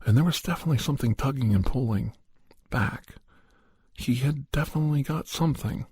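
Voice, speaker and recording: A man playing a serious doctor, telling a horror story in a husky voice with deliberate, halting pauses for an ASMR podcast. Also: medium pitch, a little mellow, no echo, pitched above the performer's own voice. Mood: measured, suspenseful. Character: male doctor recounting a creature hunt, measured and serious. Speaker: storyteller narrator.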